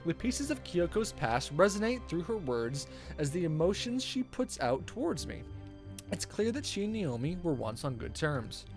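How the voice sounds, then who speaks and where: Animated streamer reading a visual novel aloud. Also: medium pitch, moderate pace, faint music behind. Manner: theatrical, playful, stumbles over read text. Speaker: game streamer.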